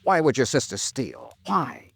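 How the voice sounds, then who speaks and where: An actor playing an earnest, insistent American investigator in an old-time radio drama, speaking in urgent, clipped phrases. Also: male voice, medium pitch, huge pitch swings, measured pace, creaky, slightly rough, full character voice. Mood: earnest, pleading. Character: insistent, earnest male insurance investigator, gentle but hard-boiled.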